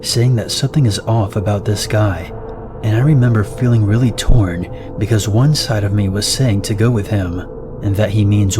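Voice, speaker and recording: Horror narrator, male, lowish voice, steady delivery, music bed under the voice. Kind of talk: scripted narration of reader-submitted stories. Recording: narration over loud background music.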